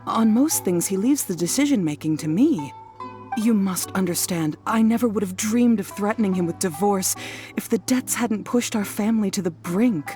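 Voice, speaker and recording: Worried, earnest wife. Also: female voice, fairly low pitch, creaky, dark tone. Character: worried, weary wife and mother, earnest, forgiving. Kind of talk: video game voice acting.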